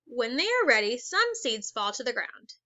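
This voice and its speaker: Woman teacher, bright and a bit high, reads a lesson aloud slowly and asks kids questions; dry, slightly dull recording. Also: very animated, no echo. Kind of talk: read-aloud lesson for children.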